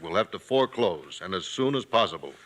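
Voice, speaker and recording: An actor playing a cold, unyielding town banker in a 1950s radio western, clipped and stern. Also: male voice, sits low, big pitch swings, fairly quick, fairly bright tone, heavily acted.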